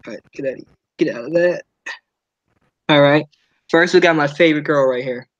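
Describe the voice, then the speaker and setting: A man's voice: on the high side, brisk. A young man co-hosting a podcast in casual, laid-back, slangy chat. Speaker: podcast co-host, visual novel creator. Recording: dull lo-fi online voice chat.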